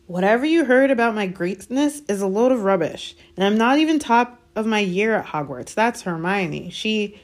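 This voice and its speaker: A woman voicing an earnest, anxious boy wizard of about twelve in a storybook, speaking in a hurried, halting way. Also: medium pitch, expressive, pitched above the performer's own voice, lightly acted. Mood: embarrassed. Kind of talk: dramatised storybook reading.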